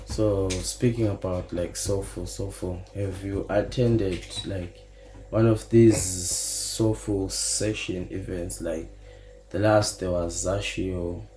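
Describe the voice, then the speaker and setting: Man, podcast host, relaxed chatty manner, deep gravelly voice. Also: slow pace, roomy sound, slight background noise. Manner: laid-back, mixes Zulu slang into English. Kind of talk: casual podcast chat.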